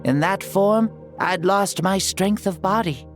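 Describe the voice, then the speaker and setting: A woman's voice: medium pitch, takes it slowly, faint music behind, close to an everyday voice. A woman voicing an ancient male warrior god stuck in a boy's body, playful and boastful with a grand manner. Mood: grandiose.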